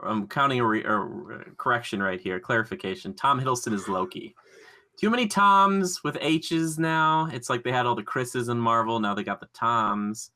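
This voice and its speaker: Male podcast host, bright and punchy, excitable, rambling, gushing, conversational. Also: medium pitch, normal speed. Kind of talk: casual enthusiastic pop culture podcast chat.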